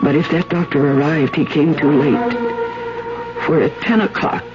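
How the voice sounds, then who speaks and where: A woman burdened by guilt, confessing gravely in a 1970s radio drama. Her delivery is hushed and intense. The old broadcast sounds muffled, with loud music underneath. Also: sits low, lively intonation, moderate pace. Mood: weary, grave.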